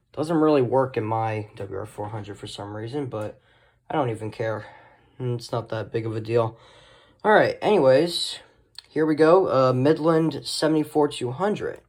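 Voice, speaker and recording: Guy doing a casual show and tell, middle pitched voice, kinda rambly, trails off a lot. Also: darkish tone. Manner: rambling, trailing off with short asides. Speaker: hobbyist video host.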